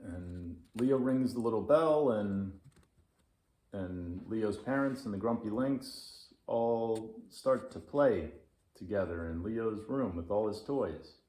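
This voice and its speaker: A man's voice: lowish voice, lively intonation, slow, warm tone. A man reading and presenting a children's story as its storyteller. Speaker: storyteller and author. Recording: echoey room.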